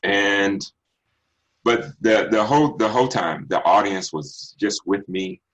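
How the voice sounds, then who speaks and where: Deep bass baritone guy from texas, middle-aged singer, thinks out loud with lots of ums. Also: poor audio. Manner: unhurried, reflective, frequent ums and restarts. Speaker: singer guest. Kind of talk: interview answers.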